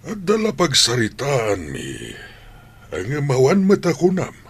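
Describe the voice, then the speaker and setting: Slow-spoken, expressive Ilocano grandfather. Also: medium pitch, slightly rough, full character voice. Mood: kindly. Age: older. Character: stern, caring grandfather scolding his daughter-in-law. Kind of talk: Ilocano radio drama acting.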